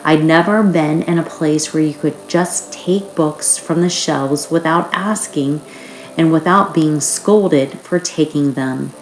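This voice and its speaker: A woman reading a personal written story aloud in a measured narrating style. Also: deep voice, a little hiss or hum. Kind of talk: reading a first-person author's note aloud. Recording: roomy echo, faint music behind.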